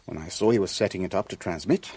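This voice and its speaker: A low-voiced, evasive, cornered businessman. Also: darkish tone, a little hiss or hum. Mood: defensive. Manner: measured, defensive, formal. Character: cornered male Levantine businessman, evasive, wounded dignity, self-justifying. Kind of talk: radio drama acting.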